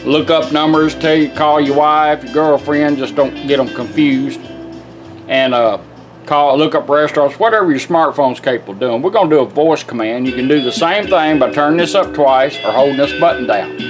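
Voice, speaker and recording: A man with a slightly high voice walks through a product demonstration in plain, informal language. He gives short step-by-step instructions in a folksy way. Guitar music plays noticeably behind him. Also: normal speed. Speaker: product demonstrator, radio builder. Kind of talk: casual hands-on product demonstration.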